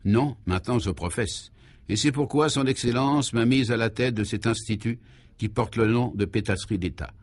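A man's voice: mid-range voice, moderate pace, natural voice. Man voicing coy, self-possessed headmistress. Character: gracious, coquettish retired courtesan turned headmistress.

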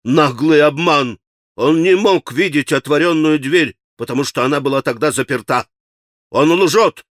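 A man's voice: on the high side, lively intonation, a little slow, no echo, clean studio sound. Man acting out a frantic, hot-tempered accused man. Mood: indignant, desperate. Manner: agitated, shouting, rambling, repeating himself. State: gasping for breath. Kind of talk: dramatic audiobook character acting.

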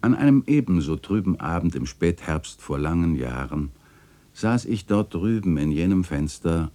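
An actor playing a wistful old chronicler, narrating a literary radio play in a measured, lyrical and contemplative way, with a husky voice. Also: male voice, warm tone, full character voice. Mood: mournful, wistful. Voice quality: very deep, husky. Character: wistful old male chronicler reminiscing about his beloved alley.

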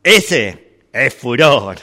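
A man's voice: mid-range voice, sing-song, drawn-out words, bright, forceful tone, heavily acted. A man playing a weary shopkeeper who sells worlds, speaking in an amateur radio drama rehearsal. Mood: matter-of-fact. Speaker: workshop radio drama actor.

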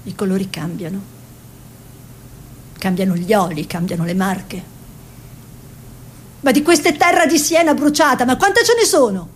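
An actress playing a sharp-tongued Italian woman artist, a painter's exasperated wife, blunt and contrary in a stage-style drama. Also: mid-range voice, a little slow, pauses often, a little hiss or hum, natural voice. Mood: wistful. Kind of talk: theatrical two-hander, dialogue and narration.

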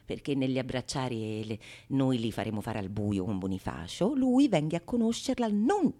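An actress plays a sly go-between in a bawdy Renaissance stage comedy. She is a woman who schemes out loud, teasing and conspiratorial, with florid archaic theatrical phrasing. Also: extremely low pitch, big pitch swings, moderate pace, exaggerated voice. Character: sly bawdy scheming go-between, plotting pranks on a foolish lover. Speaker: stage actress performing all parts.

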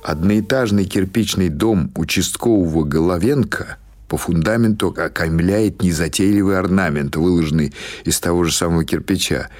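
A man reading literary prose as an audiobook narrator, in a measured, dramatic storytelling manner. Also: low-pitched.

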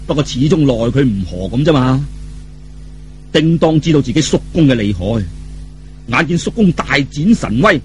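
A man's voice: medium pitch, very animated, normal speed, slight background noise. A man telling a story in colloquial Cantonese, theatrical and dramatic, with vivid exclamations.

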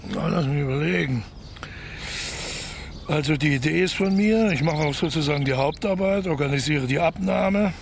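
An actor playing a cocky, smooth-talking con man twin in a radio crime comedy, persuasively laying out his plans. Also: a little high, normal speed, slight background noise. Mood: bargaining.

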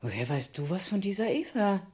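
An actress playing a guarded, evasive grandmother in a radio drama, quiet and curt. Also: medium pitch, big pitch swings, brisk, close to an everyday voice.

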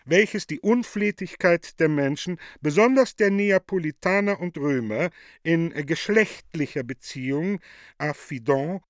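A man's voice: a little high, lively intonation, a little slow, no room sound, studio quality. A man playing an affected, haughty, coaxing woman in a theatrical radio play, mannered and gushing. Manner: mannered and gushing, with French interjections.

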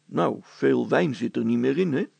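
A man voicing a blunt, down-to-earth, dry-witted fellow in a story, matter-of-fact and deadpan. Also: mid-range voice, normal speed, gravelly, husky, no echo, voice pushed down. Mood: dry, sober.

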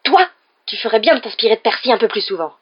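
A woman's voice: fairly low pitch, fairly quick, dull audio, close to an everyday voice. A woman voicing a short, plump, fierce mother who scolds and fusses over children in an audiobook story. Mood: furious scolding. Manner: loud, emphatic, exclaiming scolding.

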